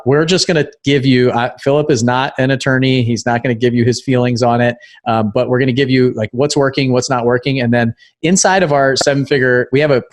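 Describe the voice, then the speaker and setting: Man, podcast host, medium pitch, casual explanatory interview talk.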